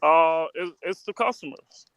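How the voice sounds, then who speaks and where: A man's voice: a little high, unhurried, a bit bright, no room sound. A man who owns a popcorn shop, chatting as a guest, telling stories animatedly with restarts and repeated words. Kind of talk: casual interview chat.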